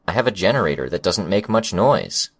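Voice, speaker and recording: A man's voice: deep voice, very animated, brisk, full character voice. A man voicing a boastful, evasive young internet forum poster in an audiobook, with a defensive manner. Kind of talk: audiobook character acting.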